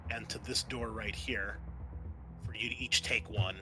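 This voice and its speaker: A man working as game master of a tabletop roleplaying game, narrating in a conversational, halting way. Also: on the low side, steady tone, music in the background.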